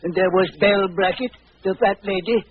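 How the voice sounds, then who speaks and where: A man's voice: sits high, steady tone, normal speed, close to an everyday voice. An actor playing a meek, worried circus sideshow man in 1940s radio drama, humble and plain-spoken, with a working-class English accent. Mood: earnest, uneasy. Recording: noisy, tinny old radio broadcast.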